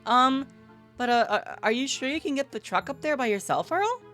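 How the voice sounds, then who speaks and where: A woman's voice: sits high, big pitch swings, moderate pace, a bit bright, soft background music, voice pushed up. A woman voicing a polite, hesitant young woman newcomer, the heroine of a visual novel. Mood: hesitant, worried. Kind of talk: visual novel character read-aloud.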